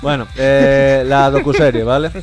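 A man's voice: mid-range voice, little pitch movement, measured pace, over music. Rambling Spanish man hosting a podcast. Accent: Spanish, Extremaduran. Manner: rambling, many restarts and fillers. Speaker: podcast co-host. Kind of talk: casual chat.

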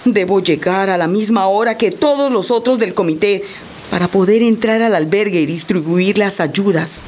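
A woman's voice: mid-range voice, normal speed, a little hiss or hum, lightly acted. An actress playing a resolute, compassionate older Colombian woman displaced by violence, speaking earnestly in a radio drama. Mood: firm, reproachful. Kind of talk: radio drama monologue and dialogue. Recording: loud guitar music under the voice.

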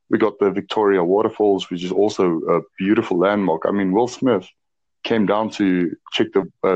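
Low-voiced South African man chatting. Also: a little mellow. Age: 20s. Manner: measured, chatty, slips in "uh" and "um". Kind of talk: casual chat. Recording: muffled low-fidelity audio.

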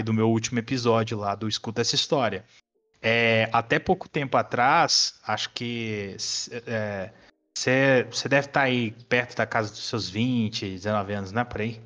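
A man's voice: mid-range voice, a bit bright. A Brazilian man aged twenty-nine chatting casually as a history teacher, explaining things informally with slang and some swearing. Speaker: history teacher and podcast guest. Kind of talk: casual conversation.

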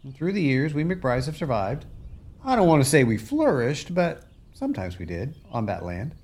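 A man's voice: mid-range voice, sing-song, normal speed, darkish tone. A man playing a weary, plainspoken American farmer who has lost his family land, in a folksy radio play style. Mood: nostalgic pride.

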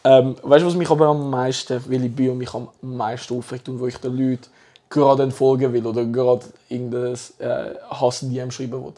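Young man, Swiss German accent, casual podcast talk, middle pitch, energetic reactions. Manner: lively, emphatic, quick reactions, laughs easily. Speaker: podcast co-host. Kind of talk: casual chat.

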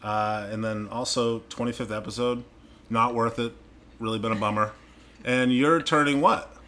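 A man's voice: medium pitch, fairly even intonation, moderate pace, fairly bright tone. A man co-hosting a podcast, bantering playfully in casual chat from a small room. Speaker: podcast co-host. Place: small warm room without air conditioning.

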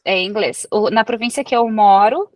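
A woman from Brazil with a Brazilian Portuguese accent, a researcher talking as a guest in a relaxed interview and explaining with everyday examples. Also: medium pitch, normal speed, dry recording. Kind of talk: casual interview conversation.

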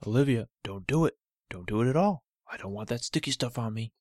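A man voicing a stubborn male talking toe who complains loudly and insistently. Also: medium pitch, expressive, a little fast, no echo, clean studio sound, natural voice.

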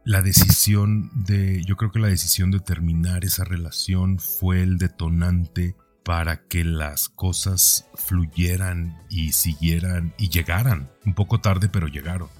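Deep-voiced man, reflective podcaster. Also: darkish tone. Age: 40s. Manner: conversational, introspective, often ending thoughts with a questioning tag. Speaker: podcast host. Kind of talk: reflective personal podcast monologue.

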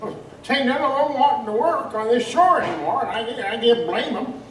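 An actor playing a gruff, evasive hall master in an old seaport, grumbling in rustic old-time dialect. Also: mid-range voice, moderate pace, recorded from a distance, lightly acted. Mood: gruff, defensive. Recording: echoey, off-mic, ambient noise behind.

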